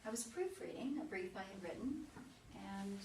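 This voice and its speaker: Stage reading: actress as anxious, refined mother, sixties, hesitant delivery, mid-pitched, echoey recording. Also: expressive, far from the mic, natural voice. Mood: anxious, confiding. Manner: hesitant, halting, uneasy. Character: elegant, troubled sixty-ish mother, a lawyer frightened by memory lapses. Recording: echoey room from afar, noisy.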